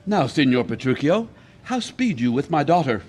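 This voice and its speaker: A man's voice: a little high, sing-song, normal speed, darkish tone. An actor playing an anxious father of the bride in a classic comedy audio play, speaking with formal theatrical delivery. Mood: concerned.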